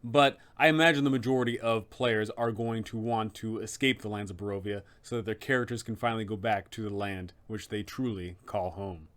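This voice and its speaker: A man's voice: fairly low pitch, fairly even intonation, fairly quick, hardly any pauses, a bit bright. A man hosting a game master's guide, narrating in an emphatic, dramatic storyteller's style. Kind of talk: scripted, dramatic explanatory narration.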